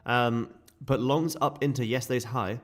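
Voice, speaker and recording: A man with a sore, croaky throat, casually walking viewers through live trading charts. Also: medium pitch, steady tone, moderate pace. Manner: casual, chatty explaining to viewers. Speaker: day trading streamer.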